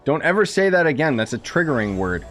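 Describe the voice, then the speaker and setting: A man's voice: on the high side, big pitch swings, fast talker, a bit bright, soft background music. A young man giving casual, reactive gaming commentary in a slangy, joking, mocking manner. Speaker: gaming streamer.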